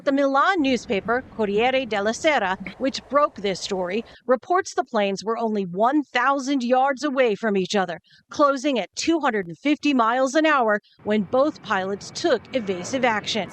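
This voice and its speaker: A woman news reporter reads scripted narration in a fairly high voice. There is some faint noise behind her, as in a broadcast clip. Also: expressive, moderate pace, hardly any pauses.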